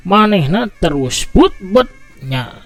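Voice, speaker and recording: A man from West Java telling a martial arts story slowly, with vivid sound effects. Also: high-pitched, very animated, faint music behind. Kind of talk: scripted storybook narration.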